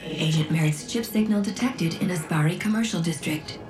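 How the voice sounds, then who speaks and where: A woman playing a calm, detached onboard computer in a video game, making precise, formal system announcements. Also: fairly low pitch, moderate pace, close to an everyday voice, low-quality recording. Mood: detached, clinical. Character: calm, clinical female onboard computer assistant. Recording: game music over the voice.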